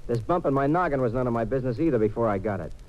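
A man's voice: medium pitch, animated, racing, a little mellow, close to an everyday voice. An American actor playing a streetwise, wisecracking young tough guy in a 1950s radio detective drama, with slangy, wry delivery. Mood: wry, cool. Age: young adult. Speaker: lead actor and narrator. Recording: muffled, noisy old radio recording.

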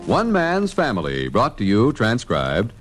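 Low-voiced American old-time radio announcer over organ. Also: male voice, huge pitch swings, a little slow.

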